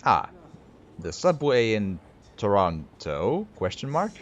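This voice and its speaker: A man's voice: fairly low pitch, very animated, takes it slowly, highs cut off, a little hiss or hum. A man with a Finnish accent narrating his horror game playthrough, thinking aloud with frequent stammering restarts. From Finland. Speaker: gaming video creator. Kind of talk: horror game let's play commentary.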